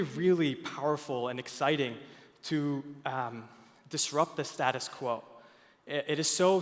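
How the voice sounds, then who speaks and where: A young man from Finland giving an earnest conference stage presentation, with frequent fillers and restarts. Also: mid-range voice. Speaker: product speaker at a conference. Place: large conference hall. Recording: long hall reverb.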